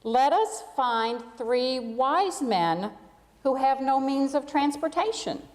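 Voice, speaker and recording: A woman's voice: sits high, lively intonation, takes it slowly, pitched above the performer's own voice. A woman voicing an earnest, eager camel from a children's storybook, with emphatic delivery, in a church. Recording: echoey hall with long reverb.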